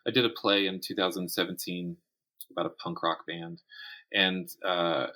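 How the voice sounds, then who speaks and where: A man chatting casually as an interview guest, telling stories in animated, stop-start phrases. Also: low-pitched, normal speed. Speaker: podcast guest, writer and writing teacher.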